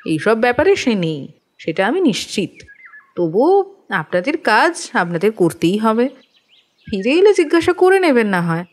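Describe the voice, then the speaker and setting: A woman's voice: fairly high pitch, very animated, a little slow. A woman playing a cool, dismissive lady of the house who is easily annoyed, in an audio detective drama. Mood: indifferent, curt. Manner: indifferent, clipped, dismissive. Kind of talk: acted dialogue in Bengali audio detective drama.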